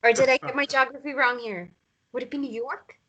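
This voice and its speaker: A woman's voice: sits high, fairly even intonation, brisk, bright, forceful tone, husky, heavily acted. A woman playing a wistful, dreamy mother by the coast in a naturalistic romance drama read, understated and reflective. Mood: wistful, playful.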